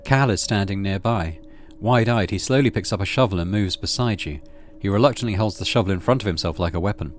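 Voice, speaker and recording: A man's voice: on the low side, quick pace, hardly any pauses. A middle-aged man hosting a gaming video, reading story text aloud and adding casual commentary. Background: game music.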